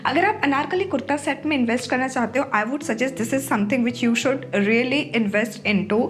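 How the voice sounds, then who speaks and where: A woman's voice: high voice, unhurried, slight background noise. A young woman with a slightly sore throat, chatting casually as she reviews outfits for her channel and switching freely between Hindi and English. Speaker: fashion vlogger. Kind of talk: casual haul review to camera.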